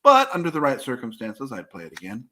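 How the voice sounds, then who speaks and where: A man's voice: mid-range voice, huge pitch swings, fast talker, bright, forceful tone. A man with an American accent co-hosting a casual board game chat in a wry manner. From United States. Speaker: co-host.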